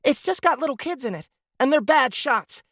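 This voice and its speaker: A bold, blunt teenage girl recruit in a sci-fi audiobook. The voice is high and very lively in pitch, recorded dry and close. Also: normal speed, dry recording. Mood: urgent, blunt.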